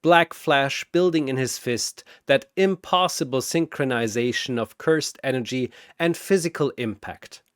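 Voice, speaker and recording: Slow, dramatic man narrating battles. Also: mid-range voice, clean tone, no echo, clean studio sound.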